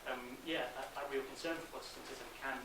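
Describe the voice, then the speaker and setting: A man giving an informal, halting academic answer at a conference Q&A, full of asides. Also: medium pitch, steady tone. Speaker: academic panel speaker. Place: conference room, indoors. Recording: very echoey noisy room, distant mic.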